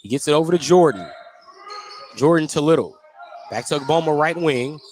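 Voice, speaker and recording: An American man doing live play-by-play basketball radio commentary, animated on big plays and conversational in between. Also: fairly high pitch, brisk. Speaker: play-by-play sports announcer. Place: indoor basketball gym during a game. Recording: clearly noisy gym background.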